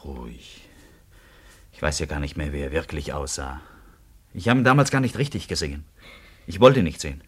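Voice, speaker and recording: An actor in a German radio play playing a guilt-tormented bridegroom, weary, tense and irritable, with a husky voice. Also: male voice, very deep voice, normal speed, long pauses. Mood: tormented, insistent.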